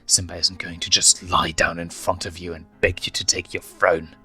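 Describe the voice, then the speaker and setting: A man's voice: deep voice, little pitch movement, normal speed, sharp tone. A man voicing a ruthless, scheming lioness mother who speaks in commanding, emphatic speeches in a story reading. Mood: stern.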